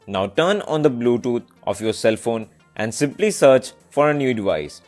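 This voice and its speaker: A man's voice: medium pitch, animated, normal speed, a little mellow. A man presenting a scripted product review as a video reviewer, in narration style. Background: background music.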